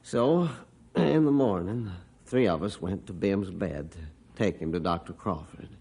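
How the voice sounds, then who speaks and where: A man's voice: low-pitched, unhurried, creaky, darkish tone, breathy edge, full character voice. An actor in a 1950s radio drama playing a grieving middle-aged father telling how his dog died, earnest and heartfelt. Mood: somber.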